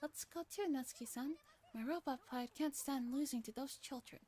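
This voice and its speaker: Lady doing a cute eager robot girl, high voice, excitable, kinda proud, visual novel style. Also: moderate pace.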